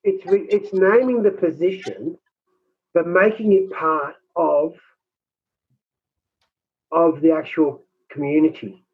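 Man, minister, squeaky and husky, halting delivery, phone-line sound. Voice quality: high, squeaky voice. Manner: halting, many restarts and repeated words. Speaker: rural minister. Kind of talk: conversational interview.

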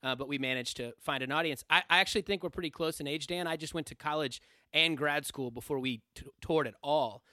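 A man's voice: on the high side, brisk, bright, forceful tone, dry recording. A man in his thirties, a podcast host and band singer, talking casually and earnestly, often restarting his phrases. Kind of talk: casual conversation.

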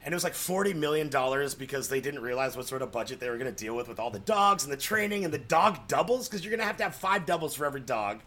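A man's voice: medium pitch, expressive, fast, hardly any pauses, pushed and bright. An American man talking casually and effusively as a podcast guest, telling stories in a rambling way, repeating words for emphasis and acting out quoted reactions. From Colorado, United States. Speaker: podcast guest, comedy writer. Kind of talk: casual, animated storytelling.

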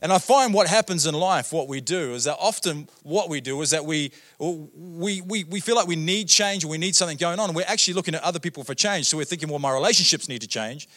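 A man's voice: on the high side, fast, hardly any pauses, fairly bright tone. A man in his thirties with a New Zealand accent, preaching as a guest pastor in a church, chatty and joking with many fillers and "right?" tags. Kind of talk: sermon. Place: church auditorium.

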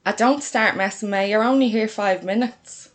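A woman's voice: medium pitch, normal speed, natural voice. A woman voicing a welcoming, breezy, house-proud sister with an Irish accent in a story. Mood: uneasy, dismissive.

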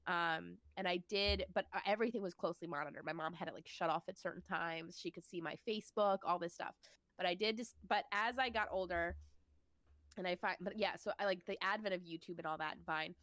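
A woman's voice: lowish voice, a little fast, a bit bright, no room sound. A young woman co-hosting a podcast, nasal and congested from a cold, chatting casually and laughing often. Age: young adult. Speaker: podcast co-host. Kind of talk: casual chat.

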